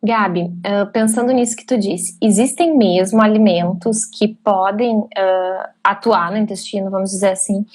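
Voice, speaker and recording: A woman's voice: mid-range voice, fairly even intonation, normal speed, few pauses, a little mellow. A woman hosting a casual live-stream interview in an effusive, welcoming manner.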